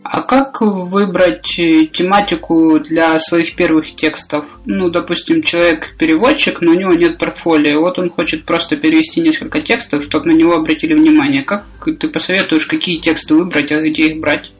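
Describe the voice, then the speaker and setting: A man's voice: on the high side, little pitch movement, moderate pace, hardly any pauses, faint music behind, low-quality recording. A man hosting a podcast, asking conversational, probing follow-up questions. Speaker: podcast host. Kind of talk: interview questions.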